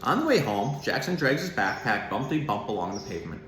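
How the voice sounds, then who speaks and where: A man's voice: mid-range voice, sing-song, a little fast, fairly bright tone. A man giving simple, child-friendly explanations and storybook reading in the informal style of an animal educator. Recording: roomy echo, some background noise.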